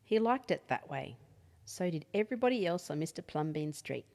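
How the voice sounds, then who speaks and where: A woman reading a picture book aloud as an expressive children's storyteller. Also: lowish voice, moderate pace. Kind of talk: expressive read-aloud bedtime story narration.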